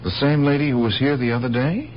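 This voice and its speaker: An actor playing a dogged, skeptical police lieutenant in a radio drama, questioning people insistently. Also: male voice, medium pitch, quick pace, natural voice. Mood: probing, skeptical. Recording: dull, hissy old radio broadcast.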